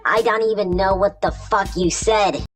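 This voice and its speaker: A woman's voice: mid-range voice, fairly even intonation, normal speed, soft background music, natural voice. A woman voicing a crude, rowdy troll camp thug in an amateur comic dub. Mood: excited.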